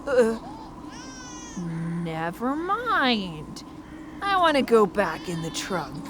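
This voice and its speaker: A woman's voice: on the high side, wildly swooping pitch, extremely slow, music in the background, exaggerated voice. A woman voicing a small, childlike, whiny floating creature in an audio drama. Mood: nervous. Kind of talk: animated audio drama acting.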